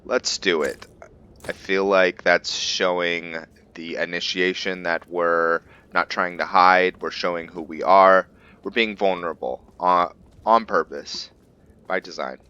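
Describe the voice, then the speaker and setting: A man's voice: sits low, fairly even intonation, a little slow, sharp tone, clean tone, highs cut off. A man narrating his roleplay playthrough of a video game, casually thinking aloud and correcting himself as he goes. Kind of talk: casual let's-play commentary.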